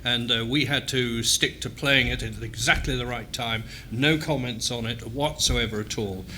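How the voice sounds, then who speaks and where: A man in his sixties, a former radio DJ, recalling station rules in a brisk, matter-of-fact way. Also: mid-range voice, sharp tone, slight background noise. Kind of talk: reminiscing panel chat.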